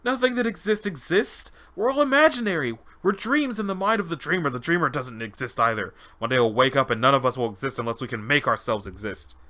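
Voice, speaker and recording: A man's voice: mid-range voice, lively intonation, a little fast, hardly any pauses, pushed and bright. A man voicing an agitated male convict test subject unraveling into madness, bewildered and stammering, in a dramatized interview log. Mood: manic, fervent.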